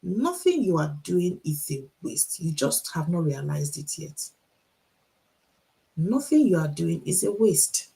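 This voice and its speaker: A woman's voice: fairly low pitch, very animated, creaky, mellow, poor audio. A middle-aged woman with a Nigerian accent, giving an encouraging motivational talk on a livestream, repeating her key points and asking the audience rhetorical questions. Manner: speaks deliberately so listeners can follow. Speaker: author and motivational speaker.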